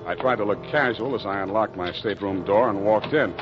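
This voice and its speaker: An actor playing a wry, hard-boiled private detective, narrating and talking in clipped, deadpan old-time radio style. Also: male voice, on the low side, a little fast, over music, close to an everyday voice. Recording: muffled vintage radio recording.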